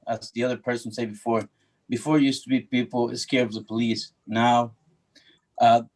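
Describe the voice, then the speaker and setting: A man's voice: mid-range voice, poor audio. A middle-aged man from Ecuador, a native Spanish speaker with an Ecuadorian accent, making informal personal remarks at a remote public meeting.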